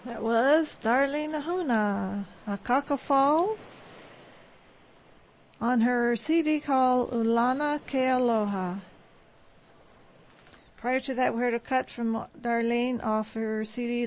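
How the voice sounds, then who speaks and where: A woman hosts a radio show from a studio, announcing tracks and reading a sponsor message. Her voice sits a little high and she takes her time. The delivery is relaxed and steady. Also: slow, rough recording. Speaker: radio host. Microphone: studio microphone.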